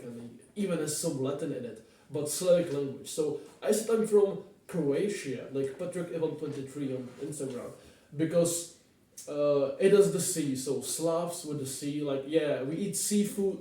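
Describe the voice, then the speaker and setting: Czech guy rambling casually to camera, really dark mellow voice, super echoey room. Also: fairly high pitch, moderate pace. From Czech Republic. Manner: informal, repetitive, swears casually. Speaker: vlogger. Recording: very echoey room, distant microphone.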